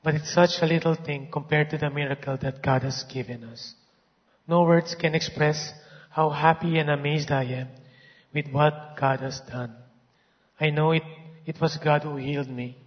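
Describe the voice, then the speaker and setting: A hoarse man with a slightly raised voice speaks earnestly, reading a prepared testimony of thanks to a church. The sound is a bit dull, and a long hall reverb trails his words. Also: on the high side, steady tone, normal speed. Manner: earnest, grateful. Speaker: church member giving a testimony.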